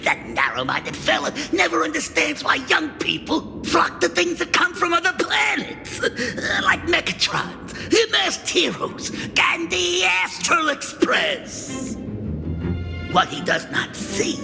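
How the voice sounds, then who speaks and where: A high, cackling gang boss. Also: male voice, huge pitch swings, slow, vocal fry, sharp tone, shouting, full character voice. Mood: sly, boastful. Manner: theatrical, cackling, wheedling. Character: sly flamboyant male gang boss sponsor. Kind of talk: video game voice acting. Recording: game audio with music, long reverb.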